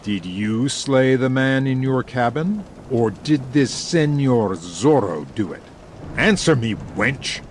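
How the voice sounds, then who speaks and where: Slow, menacing man acting a brutal pirate chief. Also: medium pitch, animated, a little hiss or hum, voice pushed down. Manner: commanding, threatening, drawn-out delivery. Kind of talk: dramatic audiobook character dialogue.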